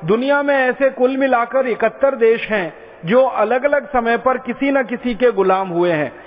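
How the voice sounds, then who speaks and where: A man's voice: extremely high pitch, moderate pace. A man from India giving an impassioned public lecture, driving home each point with repeated parallel phrases. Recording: tinny phone-like sound in a reverberant hall.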